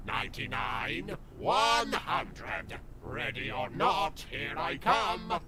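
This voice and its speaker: A man's voice: medium pitch, sing-song, slow, a loud crowd. A man voicing a petulant, vengeful, slightly awkward villain with theatrical delivery in a parody cartoon. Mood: sinister playfulness. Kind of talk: exaggerated parody cartoon voice acting.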